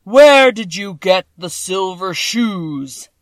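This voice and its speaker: A woman voicing a giant disembodied wizard head, loud, slow and commanding. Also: medium pitch, lively intonation, no echo, clean studio sound.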